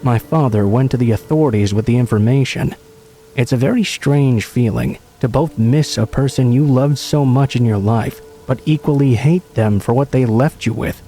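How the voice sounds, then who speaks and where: Mellow-voiced man reading horror stories. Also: mid-range voice, moderate pace. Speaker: horror story narrator. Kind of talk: scripted narration of true horror stories.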